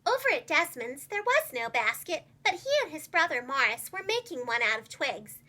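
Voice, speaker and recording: A woman telling a children's story aloud as a preschool teacher, with expressive, carefully enunciated delivery. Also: high-pitched, normal speed.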